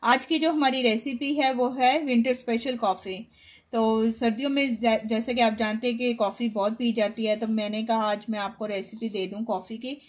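A woman's voice: a little high, steady tone, normal speed. A woman hosting a home cooking tutorial, talking viewers through each step in a chatty, patient way from her kitchen counter. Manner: chatty, instructive, repeats steps patiently. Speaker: cooking channel host.

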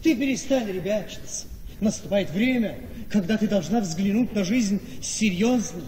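In a reverberant hall with clear background noise, a vain gentleman husband speaks in a high voice. He is scheming and smoothly persuasive, stressing his words and pausing dramatically, as in a stage-like radio play. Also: animated. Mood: grave, pleading. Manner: emphatic, dramatic, with weighty pauses. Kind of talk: theatrical radio play acting. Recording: noisy, long echoing hall reverb.

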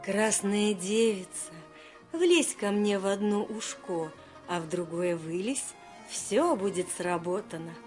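Woman voicing a calm, motherly talking cow. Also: mid-range voice, slow, faint music behind, voice pushed down. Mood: soothing.

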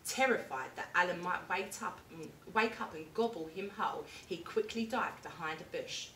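A young woman teacher reading a picture book aloud with lively, expressive storytelling, in a classroom reading corner recorded from a distance. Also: lowish voice, normal speed, a little hiss or hum. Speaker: primary school teacher. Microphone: camera at a distance. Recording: echoey room, mic far off.

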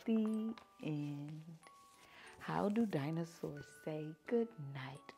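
A woman reading picture books aloud to children like a teacher, with animated, drawn-out emphasis. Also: sits low, faint music behind. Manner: animated, drawn-out emphasis, asking listeners questions. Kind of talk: expressive children's story read-aloud.

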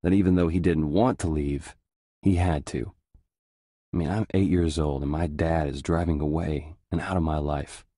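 An actor playing a weary young American paratrooper squad leader, narrating his war reflectively in a somber, measured way. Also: very deep voice, steady tone, mellow, no echo, studio quality. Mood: grieving. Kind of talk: scripted first-person war narration.

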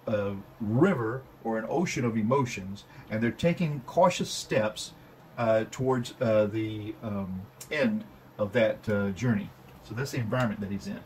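A man giving an unscripted tarot reading at a table indoors, halting and full of fillers and restarts. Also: medium pitch, slight background noise. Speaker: tarot reader.

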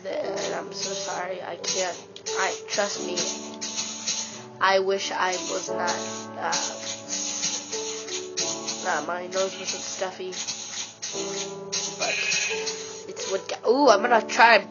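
A woman with a stuffy nose gives casual, rambling commentary while she plays a video game, excitedly talking to herself. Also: mid-range voice, slow, pauses often. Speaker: let's play gamer. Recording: dull lo-fi with game music.

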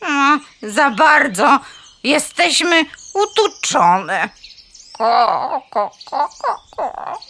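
Fretful farmyard hen, high bright voice, clucks all the time, dramatic kids radio play. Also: female voice, very animated, very slow, heavily acted. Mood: panicked, indignant. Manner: clucking between phrases, dramatic, lamenting. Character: fretful, proud female broiler hen on a farmyard. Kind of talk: children's audio play acting.

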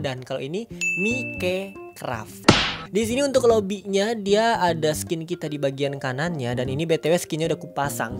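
Stuffy-nosed young man chatting over music. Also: on the high side. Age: young adult. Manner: chatty and upbeat, lots of fillers. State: stuffy nose from a cold. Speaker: gaming YouTuber. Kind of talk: casual game review vlog. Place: home room with gaming chair.

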